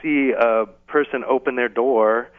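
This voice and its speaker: A band-limited, phone-like connection carries a man's mid-pitched voice. He is a guest in a casual radio interview, explaining as an organiser would, with a halting flow. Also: steady tone.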